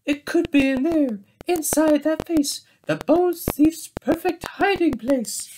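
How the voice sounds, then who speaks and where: An excitable little cartoon bear detective from a picture book , voiced by a man reading aloud. The voice jumps up high and exclaims with wide-eyed urgency. It sits in a slightly noisy home recording. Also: slow pace. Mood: eager, exclaiming.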